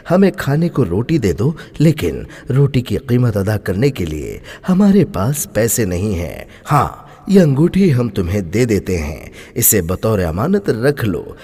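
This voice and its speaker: A man voicing a self-assured king disguised as a beggar, measured and courteous, in a narrated moral story. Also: lowish voice, warm tone, breathy edge, full character voice. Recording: long hall-like reverb.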